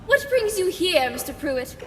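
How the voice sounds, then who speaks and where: Actress doing a mysterious posh radio drama lady, super high voice, prim n swoopy, coy. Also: sing-song, moderate pace, fairly bright tone, a little hiss or hum, full character voice. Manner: prim, theatrical, precise diction. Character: mysterious, refined society woman, coyly evasive. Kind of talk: old-time radio drama acting.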